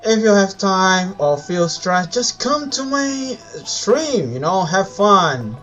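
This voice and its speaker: A man's voice: high-pitched, sing-song, takes it slowly, sharp tone. A man who speaks English as a second language, chatting playfully and informally as a gaming live streamer. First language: not English. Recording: muffled mic, game music behind.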